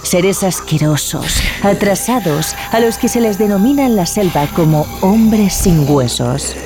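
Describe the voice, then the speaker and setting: Clear music runs behind a woman presenter with a medium-pitched voice. She reads a scripted introduction to horror fiction in a dramatic style. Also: animated, measured pace.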